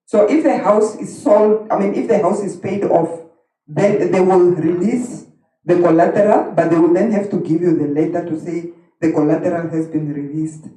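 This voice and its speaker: Brisk woman chairing a workshop. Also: lowish voice, mellow. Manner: brisk, keeps things moving. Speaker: workshop facilitator. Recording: echoey hall, distant mic.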